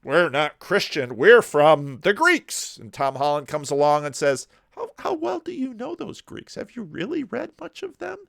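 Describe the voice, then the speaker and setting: A man commenting on a video clip, talking in animated rhetorical questions with slow, deliberate emphasis. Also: a little high, vocal fry, no echo, studio quality.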